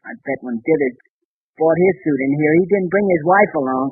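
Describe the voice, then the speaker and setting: An actor playing a bumbling, excitable young husband in a 1930s radio comedy, stammering and boyishly eager. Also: male voice, fairly high pitch, a little fast, breathy edge, no echo. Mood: sheepishly assertive. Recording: tinny, muffled old 1930s radio recording.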